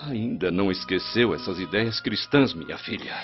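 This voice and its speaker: Faint music sits under a dull radio drama recording of a Roman official. His lowish voice is measured and formal, that of a dutiful, reassuring head of a family. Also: expressive. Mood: reproachful. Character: dutiful Roman official, affectionate but firm husband and father. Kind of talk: radio soap opera acting.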